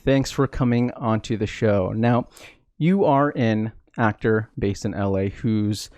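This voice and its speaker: A man hosting an interview, asking measured questions and backing the guest with frequent small acknowledgements. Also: on the low side, a little mellow, no room sound. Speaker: podcast host.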